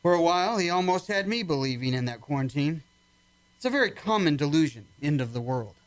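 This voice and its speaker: Guy playing army doctor in a radio drama, higher voice, really varied intonation, reassuring. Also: animated, a little fast, no echo, lightly acted, rough recording. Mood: musing, curious. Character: military doctor, calm, soothing, skeptical officer. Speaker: actor in a radio play. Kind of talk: amateur radio drama acting.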